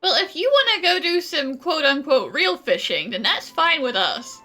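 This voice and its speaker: A woman's voice: high-pitched, sing-song, normal speed. A chatty woman doing casual, improvised commentary and replies during a video game playthrough. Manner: chatty, laughs easily. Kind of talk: casual improvised let's play dialogue and commentary. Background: game music.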